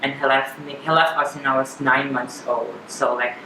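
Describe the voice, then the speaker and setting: A woman in her thirties with a Filipino accent, chatting candidly as an interview guest, often halting and repeating words. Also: very deep voice, little pitch movement. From Philippines. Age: 30s. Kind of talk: personal interview chat. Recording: echoey room with clear background noise.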